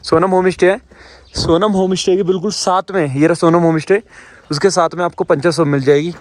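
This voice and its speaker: Hoarse-throated man vlogging casually. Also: high-pitched, steady tone, a little fast, dry recording. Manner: blunt and chatty, addresses viewers directly. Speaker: travel vlogger. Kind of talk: casual travel vlog talk.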